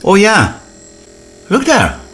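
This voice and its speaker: A man, an English speaker, thinking aloud in a casual, halting way as he hosts a hands-on repair vlog, muttering asides to himself. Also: high-pitched, wildly swooping pitch. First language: not English. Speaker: host of an electronics repair channel. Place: indoor workbench. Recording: noisy workbench with clicks and clatter.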